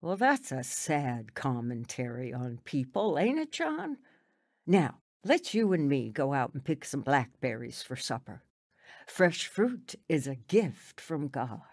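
A woman's voice: a bass voice, very animated, takes it slowly, a little mellow, heavily acted. A woman playing a pious, plainspoken old country grandmother in a radio drama, solemn and folksy in manner. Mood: homely, gentle.

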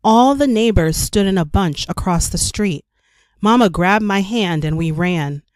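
A woman narrates a children's picture book in a careful, expressive way. Her pitch sits in the middle for a woman. The sound is dry and close, with no room around it. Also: measured pace, no room sound. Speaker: storyteller reading a children's picture book aloud. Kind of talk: scripted read-aloud narration.

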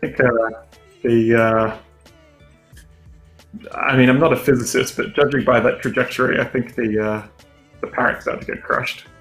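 A young Australian illustrator chatting on a livestream while drawing, relaxed and hesitant with dry humor, on a headset mic. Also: male voice, mid-range voice, moderate pace, pauses often, soft background music. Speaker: illustrator guest.

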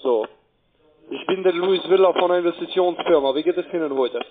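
A man's voice: high voice, brisk. A man working as a call-centre agent, making a sales call over the phone. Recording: muffled, narrow-band phone line.

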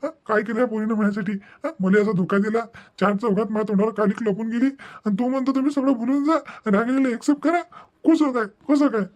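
A man's voice: very high-pitched, steady tone, quick pace. A man voicing a stubborn, hurt middle-aged father in a cartoon family melodrama, agitated and reproachful, with a Vidarbha Marathi accent. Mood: wounded anger. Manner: agitated, reproachful, repeats himself.

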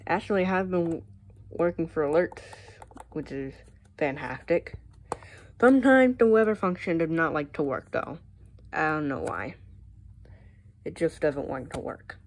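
A young American woman with a low-pitched voice talks casually through a show-and-tell. She is recorded on a handheld phone in a room at home, with faint noise behind her. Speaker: hobbyist vlogger showing a collection.

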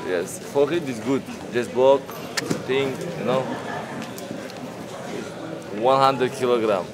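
Slow-talking man joking in broken English. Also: medium pitch, heavy background noise. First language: not English. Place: large gym.

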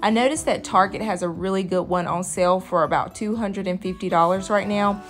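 Woman, mid-pitched voice, informal home vlog narrator, light music bed. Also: steady tone, a little fast. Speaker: vlogger, host of a cleaning channel. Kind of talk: casual voice-over narration.

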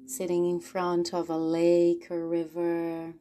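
A woman hosting a guided relaxation practice, speaking in a gentle, unhurried way with long pauses. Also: on the low side, completely flat delivery. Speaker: podcast host and guided relaxation teacher. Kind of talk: guided relaxation, slow reflective narration. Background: soft plucked guitar music.

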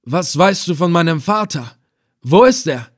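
A man voicing a furious, cruel slave-hunter chieftain in an audiobook adventure, loud, commanding and menacing. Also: on the high side, expressive, unhurried, voice pushed up. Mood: alarmed, demanding. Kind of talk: dramatized audiobook adventure reading.

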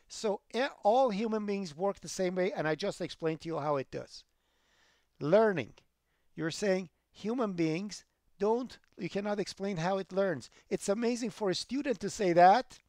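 A middle-aged man, a college programming instructor lecturing online over a headset, emphatic and rising loud when he makes a point. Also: high voice, no echo, studio quality. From Iran. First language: Persian.

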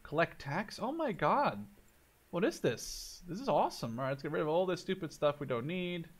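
A man in his twenties giving casual, excitable let's play commentary, thinking out loud as he goes. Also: fairly high pitch, moderate pace, breathy edge. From Canada or the Netherlands. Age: 20s. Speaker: gaming video host.

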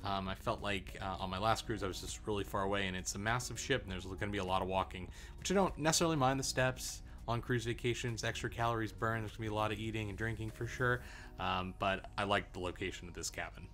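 Chatty man with a lowish voice. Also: quick pace. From Arizona, USA. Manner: relaxed, chatty, frequent uh and um fillers. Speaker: travel vlogger. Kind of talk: casual review to camera.

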